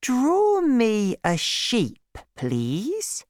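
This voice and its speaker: A narrator voicing a small boy in a storybook, gentle but insistent, with slow, deliberate and simple speech. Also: medium pitch, wildly swooping pitch, no echo, studio quality, pitched above the performer's own voice, heavily acted. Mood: pleading, insistent. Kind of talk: storybook character voice.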